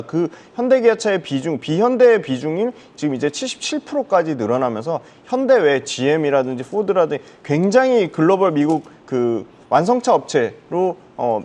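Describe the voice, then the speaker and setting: A man's voice: a little high, a little mellow. A man giving explanatory, emphatic stock commentary as a guest analyst on a broadcast.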